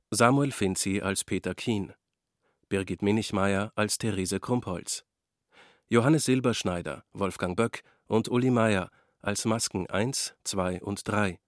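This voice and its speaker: Low-voiced male radio announcer. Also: no room sound, studio quality. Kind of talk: formal scripted radio credits announcement.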